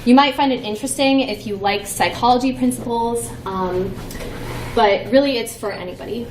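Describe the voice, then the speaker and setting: A woman's voice: on the high side, moderate pace, noisy background. A young woman giving a conference talk, playful and chatty with many fillers. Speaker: conference presenter. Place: conference room. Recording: echoey room from far off.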